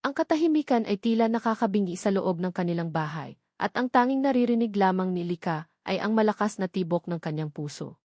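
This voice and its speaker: Filipina woman reading out a dramatic audio story, mid pitch, really dry close sound. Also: lively intonation, brisk, a little mellow, no room sound. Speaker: narrator.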